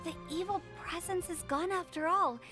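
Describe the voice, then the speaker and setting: A mischievous young funeral director from a game teases in a very high, bright voice. Game music is clearly heard behind her. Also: moderate pace. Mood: curious. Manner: playful, teasing.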